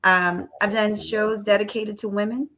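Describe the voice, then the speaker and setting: A woman's voice: mid-range voice, steady tone, moderate pace, fairly bright tone. A woman in her forties hosting a live stream, chatting casually with frequent restarts and repeated words. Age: 40s. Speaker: live-stream show host.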